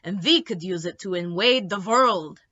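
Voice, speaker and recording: A woman's voice: mid-range voice, expressive, normal speed, pushed and bright, highs cut off. A woman voicing a sly, menacing male head vampire for a children's storybook.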